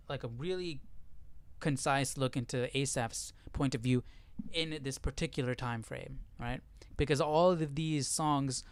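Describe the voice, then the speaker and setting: A man's voice: medium pitch, smooth, dry recording. Casual man explaining scripture, dry close sound. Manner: informal, rhetorical questions and check-ins. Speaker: host of a Bible study livestream. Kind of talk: casual conversational Bible commentary to viewers.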